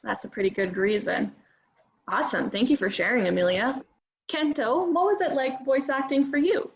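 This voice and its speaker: A woman's voice: sits high, normal speed, muffled recording, a little hiss or hum. A woman instructor interviewing children about their camp, asking friendly questions and answering with encouraging, upbeat words. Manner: encouraging, upbeat short replies. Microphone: video call.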